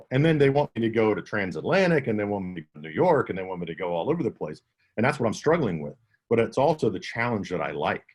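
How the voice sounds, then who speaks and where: A man with a slight Southern accent, an audiobook narrator answering questions in a conference Q&A over a video call, talking casually and often restarting his sentences. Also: lowish voice. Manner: conversational, with many restarts and self-corrections.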